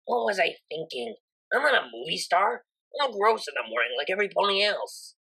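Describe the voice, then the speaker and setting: A woman's voice: lowish voice, very animated, a little fast, gravelly, pushed and bright, slightly rough, exaggerated voice, rough recording. Woman voicing an awkward, stammering young unicorn mare. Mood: sheepish. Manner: hesitant, stammering, informal. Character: awkward, flustered, smitten young female unicorn DJ pony.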